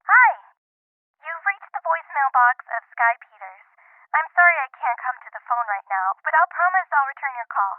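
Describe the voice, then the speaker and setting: A bright voicemail greeting over the phone. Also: female voice, high-pitched, lively intonation, moderate pace. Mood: polite. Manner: polite, scripted greeting. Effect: phone filter. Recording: tinny phone line.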